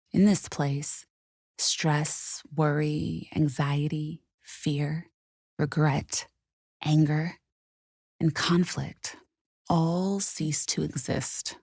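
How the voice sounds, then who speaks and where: A woman guiding a meditation in a soothing, unhurried, softly spoken way with gentle pauses. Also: low-pitched, vocal fry, husky, dry recording, studio quality. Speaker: meditation guide. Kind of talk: guided meditation narration.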